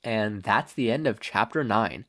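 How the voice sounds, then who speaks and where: A man reading stories aloud as an expressive podfic narrator. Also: lowish voice, unhurried. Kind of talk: expressive fan fiction narration.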